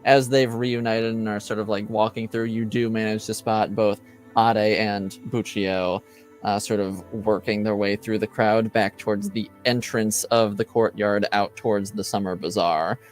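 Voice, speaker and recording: A man running a tabletop game as game master, relaxed and chatty with frequent fillers. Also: on the low side, moderate pace, faint music behind, low-quality recording.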